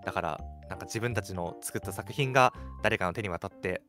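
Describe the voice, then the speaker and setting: A man with a lowish voice co-hosts a casual radio show over music. He talks quickly, mixing polite phrasing with informal banter. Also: a bit bright. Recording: music playing behind the voice. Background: background music.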